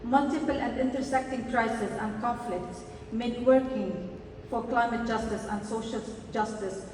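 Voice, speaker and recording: A woman's voice: on the high side, steady tone, a little mellow. Earnest woman speaking in an echoey hall. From Lebanon. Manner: earnest, measured, slows for emphasis. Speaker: party delegate giving a congress speech. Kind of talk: prepared conference speech. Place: large conference hall. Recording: echoey hall, distant mic, faint noise.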